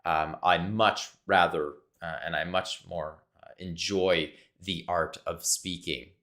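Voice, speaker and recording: Deep-voiced Québécois French man thinking aloud. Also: steady tone, slow, pushed and bright. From Quebec, Canada. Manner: searching, repetitive, thinks aloud. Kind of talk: solo monologue to camera. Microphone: camera mic, talking to camera.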